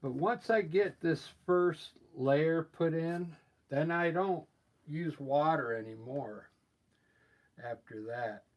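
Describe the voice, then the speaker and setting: A man with a scratchy voice from allergies, painting live and explaining his steps in a relaxed, thinking-aloud way. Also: on the high side, takes it slowly. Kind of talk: casual live painting demonstration.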